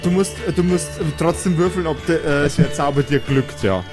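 A man's voice: on the high side, moderate pace, music in the background. A man narrating and explaining rules as game master of an improvised tabletop role-playing audio play.

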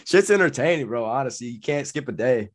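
A laid-back man with a stuffy nose from a cold, chatting casually as a co-host and laughing easily. His voice sits at an ordinary middle pitch. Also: lively intonation, fairly quick. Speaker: podcast co-host. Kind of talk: casual sports banter.

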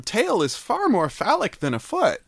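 A man co-hosts a comedy podcast, reading comments with jokey, mock-serious banter. His voice is fairly high and very lively in pitch, recorded dry and close. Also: normal speed, gravelly, no room sound. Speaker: podcast co-host. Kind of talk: casual comedic chat, reading comments aloud.

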